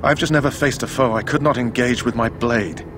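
An actor playing an anxious, complaining knight recruit in a fantasy game. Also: male voice, medium pitch, a little fast, noisy background, close to an everyday voice. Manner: fretful, protesting.

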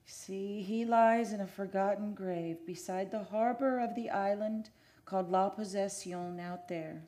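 A woman's voice: mid-range voice, measured pace, warm tone, lightly acted. A woman playing the lovelorn ghost of a Spanish sea captain's daughter in an old-time radio drama, with solemn, archaic delivery. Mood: mournful. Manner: solemn, archaic, declamatory phrasing.

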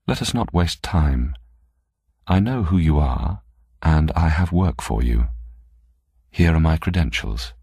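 A man voicing a poised, imperious woman collector in an audiobook, calm and calculating, with formal, commanding speech. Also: very deep voice, measured pace, vocal fry, pauses often, husky, no room sound, studio quality, heavily acted. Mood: brisk, assured.

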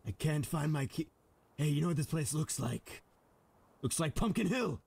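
A man voicing a blunt, deadpan, scolding hedgehog antihero in a comedic video game fan dub. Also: a little high, moderate pace, creaky, breathy edge, heavily acted.

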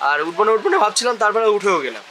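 A man chatting rapidly in a rambling, excited way as a travel vlogger on an open boat in wind and rain. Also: sits high. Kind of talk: casual vlog chat on a boat. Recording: noisy outdoor wind and weather.

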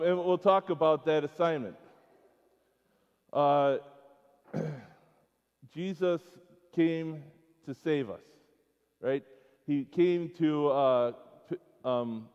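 This is a middle-aged American man speaking at a school chapel in a fairly high male voice. He preaches informally to children, in short halting phrases with questions mixed in. The sound is dull and carries the long reverb of a church hall. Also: clear, resonant tone.

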